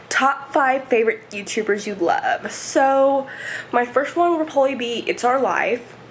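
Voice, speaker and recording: Hoarse young woman vlogging at home. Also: a little high, slight background noise, low-quality recording. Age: 20s. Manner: rambling, chatty, many fillers. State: hoarse, stuffy voice. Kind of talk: casual home vlog chat. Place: home, indoors. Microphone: camera set up nearby.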